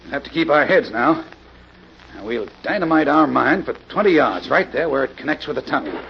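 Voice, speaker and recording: An actor playing a nervous, griping older engineer turned crook in a golden-age radio drama. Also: male voice, mid-range voice, expressive, brisk, gravelly. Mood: scheming. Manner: griping, agitated, anxious. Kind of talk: 1940s radio acting. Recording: muffled, noisy old radio recording.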